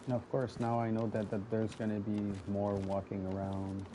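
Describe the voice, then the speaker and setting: A French Canadian man with a lowish voice, talking casually through a game session. He rambles, restarts his words, and speaks straight to the people watching. Loud game ambience runs behind him. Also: monotone, moderate pace, background noise. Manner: chatty and rambling, often restarting words, talks straight to viewers. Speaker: gaming video host. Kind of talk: casual gameplay commentary.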